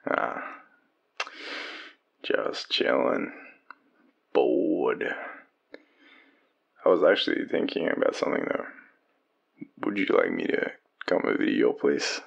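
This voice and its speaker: An Australian man playing a shy, easygoing young man smitten with his friend, speaking haltingly in an intimate audio roleplay. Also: very deep voice, sing-song, gravelly, breathy edge, lo-fi sound, full character voice. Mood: awkward, casual. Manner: hesitant, halting, chuckling, stammering.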